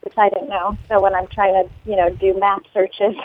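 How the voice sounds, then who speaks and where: A woman's voice: mid-range voice, flat delivery, moderate pace, no echo. A musician woman from the United States, talking in a phone interview, rambling and laughing often. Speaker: musician guest. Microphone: telephone. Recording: tinny phone line.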